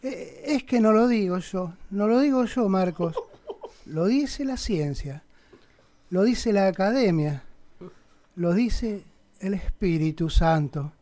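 A man's voice: high voice, animated, slow pace, warm tone. An Argentine man playing a sanctimonious, pompous conservative politician in a satirical radio play, with an unctuous, preachy manner. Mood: smug, cajoling. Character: sanctimonious male conservative politician, pious and crudely provocative.

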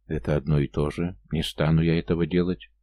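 A man voicing a proud, stubborn young warrior with a gruff, formal manner in a fantasy audiobook. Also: extremely low pitch, level tone, fairly quick, dark tone, no echo.

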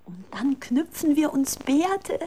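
An actress playing a clever, excitable village girl in a children's radio drama, gleeful and conspiratorial. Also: high voice, takes it slowly, background noise, full character voice. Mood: excited, conspiratorial.

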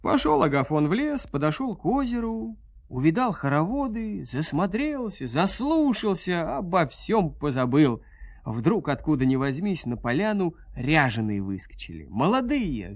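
Animated man telling a folk tale. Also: on the high side, dry recording. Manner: theatrical, dramatic folksy storytelling. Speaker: storyteller narrator. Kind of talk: expressive scripted folk-tale narration.

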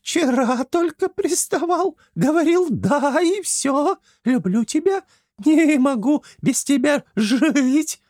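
A man voicing a sharp, bossy young wife who teases and orders people about in a high falsetto. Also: lively intonation, slow pace, no echo, studio quality, exaggerated voice. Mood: mocking.

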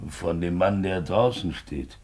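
A stolid village policeman in a 1950s radio drama. His low voice is laconic and dutiful, heard over a faint layer of noise. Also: unhurried, darkish tone. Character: slow, stolid provincial Russian policeman reporting to his chief. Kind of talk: 1950s German radio play acting.

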